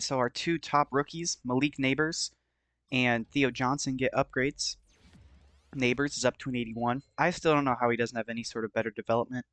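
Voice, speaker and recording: A man giving casual play-by-play commentary as host of a gaming video, his voice slightly hoarse. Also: medium pitch, fairly bright tone, no room sound.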